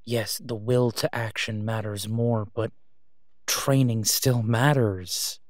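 A man playing an exasperated, protective vigilante in a phone-call audio roleplay, speaking urgently and emphatically, with pleading pauses. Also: fairly low pitch, steady tone, dry recording, studio quality. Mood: exasperated, warning. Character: exasperated, protective masked male vigilante on patrol.

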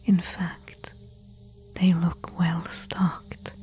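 Woman doing careful eager temple explorer for asmr roleplay, hushed mellow voice, a bit low, deliberate. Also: level tone. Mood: quiet wonder. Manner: hushed, slow, deliberate whispering. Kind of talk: soft-spoken ASMR audio roleplay.

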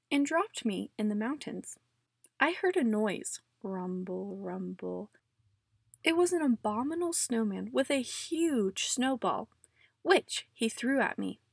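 Woman reader as matter-of-fact old storybook grandfather spinning tall tales, husky and close-miked. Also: on the high side, no room sound, full character voice. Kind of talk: storybook read-aloud.

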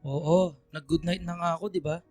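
A man's voice: a little high, fairly even intonation, normal speed, a little mellow, dry recording, natural voice. A man playing an irritable, controlling older boyfriend in a Filipino radio drama, curt, nagging and defensive. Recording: music bed under the voice.

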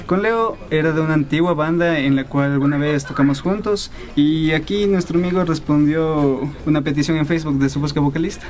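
A man's voice: fairly high pitch, brisk, few pauses, a little mellow. A young man in his twenties from Ecuador, a band guitarist, answering interview questions casually with many fillers in a music studio. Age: 20s.

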